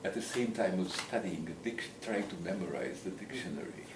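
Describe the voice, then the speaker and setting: A man's voice: deep voice, expressive, fairly quick. Older male interviewee, slight Latvian accent, casual self-deprecating storyteller, roomy sound, away from mic. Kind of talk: casual reminiscence. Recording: echoey room, distant mic, noisy background.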